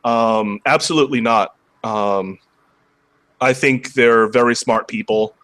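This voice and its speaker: Slightly hoarse man chatting casually. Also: mid-range voice, slow pace, fairly bright tone. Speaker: interview guest, cube shop seller and video maker. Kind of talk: casual livestream chat.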